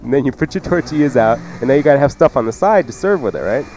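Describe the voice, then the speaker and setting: A man's voice: mid-range voice, lively intonation, quick pace, a little mellow, a little hiss or hum. A man hosting a cooking show with casual, joking, teasing instructions.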